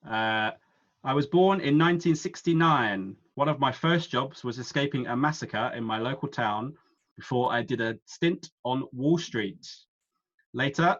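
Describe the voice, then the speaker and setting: A man of ordinary pitch hosts a quiz, reading every clue out slowly and then a second time. His voice arrives over a video call, slightly dull and lo-fi. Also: fairly bright tone. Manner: reads each clue slowly twice. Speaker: quiz host.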